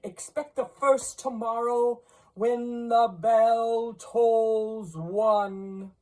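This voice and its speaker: A woman's voice: fairly high pitch, steady tone, warm tone, voice pushed up. A woman playing a mournful male ghost in a storybook reading, solemn and declamatory, drawing out grave warnings. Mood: grave, commanding. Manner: slow, drawn-out, declamatory delivery.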